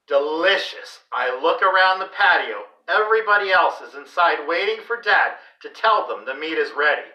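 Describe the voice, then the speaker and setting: A man reading a children's story aloud in an expressive, deliberate, dramatic way. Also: fairly high pitch. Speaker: read-aloud host for a school class. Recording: roomy echo, distant microphone.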